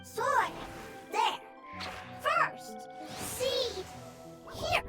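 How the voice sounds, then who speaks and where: Game casting: small, timid forest critter, extremely high voice, very slow broken phrases, loud music underneath. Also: female voice, lively intonation, exaggerated voice. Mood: urgent. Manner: halting, fragmented short phrases. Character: small timid forest creature speaking broken simple words.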